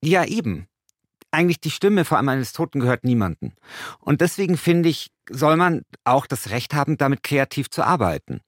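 A man's voice: a little high, sing-song, normal speed, no room sound, studio quality. A man co-hosting a podcast, talking in a colloquial, argumentative way. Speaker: podcast co-host and radio play author. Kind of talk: casual debate and informal narration.

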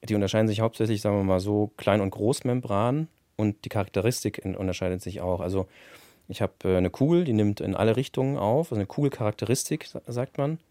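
A man's voice: fairly low pitch, fast, mellow, no room sound, studio quality. A man explaining technical things casually as a sound engineer, in a colloquial way with tag questions.

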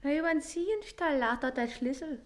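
Actress as high-voiced, sulky young servant girl. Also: normal speed, close to an everyday voice. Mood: sheepish. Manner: whining, defiant. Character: stubborn, sulky teenage servant girl, grumbling daughter. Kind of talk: theatrical dialect comedy acting.

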